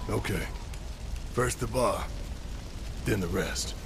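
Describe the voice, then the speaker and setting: An actor playing a gruff, suspicious, hot-tempered fighter in a video game, gravelly and blunt. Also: male voice, fairly low pitch, slow, noisy background. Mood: subdued. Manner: blunt, excitable.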